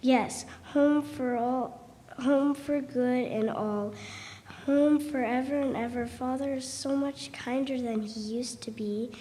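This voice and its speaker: An affectionate, eager little girl, acted by a woman in a staged storybook reading. Her voice is fairly high and slightly mellow, slowly paced. Long hall reverb surrounds it. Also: steady tone. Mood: eager, loving. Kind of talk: amateur dramatic reading. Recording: reverberant hall, faint noise.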